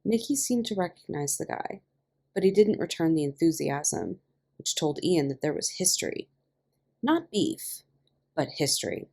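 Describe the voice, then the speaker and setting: A woman reading a story aloud as a podfic narrator, with expressive scripted delivery. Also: low-pitched, normal speed, vocal fry. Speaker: podfic reader.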